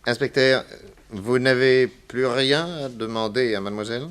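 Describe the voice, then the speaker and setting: An actor playing a shrewd private detective, assured, ironic and commanding, in a 1960s French radio crime drama. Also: male voice, medium pitch, normal speed, fairly bright tone, clean tone, natural voice. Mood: polite, probing.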